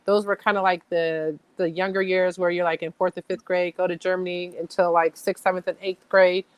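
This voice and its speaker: A woman's voice: fairly low pitch, level tone, fairly quick, smooth, no echo, rough recording. Laughing woman guest, dry sound. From Thailand. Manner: friendly, laughs often. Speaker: guest, chef. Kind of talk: casual interview chat.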